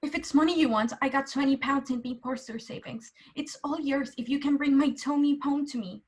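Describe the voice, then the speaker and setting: A woman's voice: high voice, a little fast, close to an everyday voice. A woman playing a humble, anxious village seamstress, deferential and pleading, in a radio play. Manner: pleading, plain-spoken, deferential.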